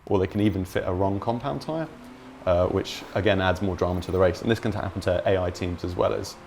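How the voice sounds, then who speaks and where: A man's voice: low-pitched, fast, gravelly, darkish tone, slight background noise. A man chatting informally and enthusiastically as a game developer explaining features in an interview. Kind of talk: casual explanatory chat.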